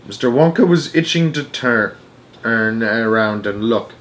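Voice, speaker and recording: A man reading a children's story aloud as an expressive narrator. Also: mid-range voice, measured pace.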